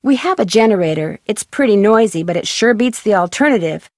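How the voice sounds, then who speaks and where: An actress playing a composed young amateur sleuth in a video game, explaining things earnestly. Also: female voice, medium pitch, animated, fairly quick, a little mellow, no echo, studio quality, natural voice. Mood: matter-of-fact.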